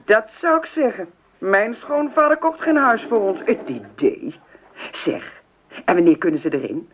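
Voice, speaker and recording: A woman acts a gossipy neighbour in an old radio drama, animated and effusive, full of curiosity. The recording is muffled, with clear background noise. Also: medium pitch, normal speed, lightly acted. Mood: curious. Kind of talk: radio play acting.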